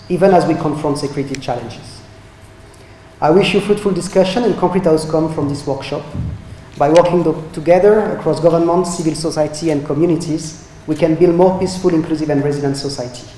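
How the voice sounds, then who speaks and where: A man with a mellow, fairly high voice reads out a formal speech in a steady, measured way. He is recorded in an echoey conference hall, with a faint hum of noise behind him. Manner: measured, read-out delivery. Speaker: EU representative giving a speech.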